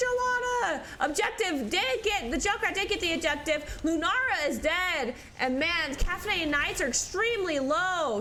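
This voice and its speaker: A woman doing live play-by-play commentary on an esports match, sounding stuffy from allergies and getting excited in close fights. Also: very high voice, slight background noise. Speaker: esports caster.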